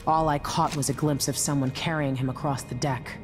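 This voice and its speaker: A woman's voice: sits low, fairly even intonation, brisk, music in the background, close to an everyday voice. A woman voicing a blunt, dry boat captain. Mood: steady. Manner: dry, blunt, matter-of-fact. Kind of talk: video game cutscene dialogue.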